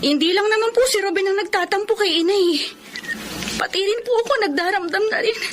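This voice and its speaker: Polite sad young niece, filipino radio drama, really high voice, bit of hiss. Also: female voice, fairly quick, a bit bright. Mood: plaintive. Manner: polite, plaintive. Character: respectful, hurt young niece.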